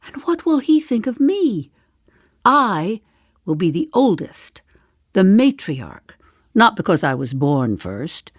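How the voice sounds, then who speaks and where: Wry elderly woman confiding, with animated pitch. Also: no room sound, exaggerated voice. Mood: wry, musing. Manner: deliberate, confiding, with dry understatement. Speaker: actor in a one-woman play. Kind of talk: theatrical audio drama monologue.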